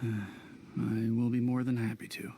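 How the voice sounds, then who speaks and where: A man voicing a polite, earnest, mild-mannered healer with a creaky voice and formal manner in a video game. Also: mid-range voice, level tone, mellow, a little hiss or hum. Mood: courteous. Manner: courteous, formal, measured.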